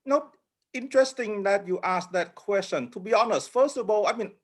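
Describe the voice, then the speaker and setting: This is a middle-aged man from Cambodia, a guest in an informal interview. His voice sits a little high for a man. He talks humbly and haltingly, with frequent pauses. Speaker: interview guest, memoir author.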